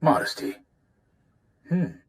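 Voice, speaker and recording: An actor playing a seasoned, watchful warrior advisor in a video game, speaking in a measured, grave way. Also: male voice, natural voice. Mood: guarded.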